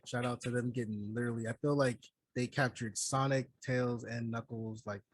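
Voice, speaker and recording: A man's voice: mid-range voice, fairly even intonation, normal speed, slight background noise. A man co-hosting a casual review podcast, speaking bluntly in slang with plenty of swearing. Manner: blunt, profane, slangy griping. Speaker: podcast co-host reviewing a film. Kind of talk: casual chat.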